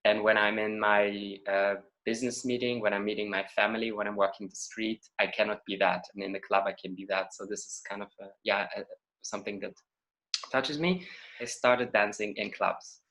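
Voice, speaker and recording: A man's voice: fairly low pitch, fairly even intonation, moderate pace, fairly bright tone, low-quality recording. A man from Israel with an Israeli accent, a choreographer and dancer answering interview questions in an introspective way, searching for his words. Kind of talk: reflective interview talk.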